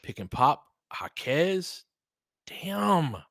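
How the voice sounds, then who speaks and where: Male fan commentator for basketball livestream: bright, forward voice at an average pitch, dry close sound, informal and opinionated. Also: very animated, drawn-out words, creaky, no echo. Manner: informal and opinionated, clipped phrases during play, talking back to listeners. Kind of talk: casual fan play-by-play commentary mixed with chat.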